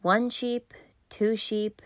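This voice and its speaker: A woman narrator reading children's storybooks aloud in an expressive, scripted style. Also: a little high, drawn-out words, dry recording, studio quality.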